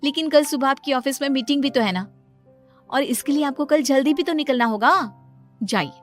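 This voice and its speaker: A woman's voice: sits high, a little fast, soft background music, pitched above the performer's own voice, close to an everyday voice. A woman voicing a young wife and mother in a cartoon story, chiding and teasing her husband. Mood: gently chiding.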